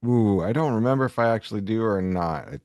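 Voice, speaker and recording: A man's voice: lowish voice, animated, quick pace, darkish tone, no room sound, clean studio sound. A man chatting casually on a podcast as the other half of a conversation. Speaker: podcast co-host or guest. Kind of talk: casual chat.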